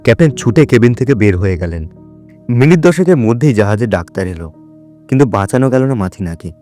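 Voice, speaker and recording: A man's voice: medium pitch, lively intonation, a little fast, warm tone, faint music behind. A man narrating a story for an audio drama in a scripted, dramatic storytelling style.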